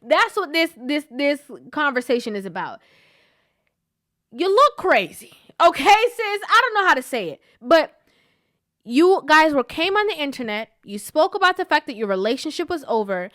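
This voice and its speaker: A woman's voice: high-pitched, fairly bright tone, dry recording, studio quality. Stuffy-nosed woman giving frank commentary. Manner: emphatic, frank, checks in with "okay?". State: stuffy nose, sniffling. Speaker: commentary vlogger. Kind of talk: opinionated commentary.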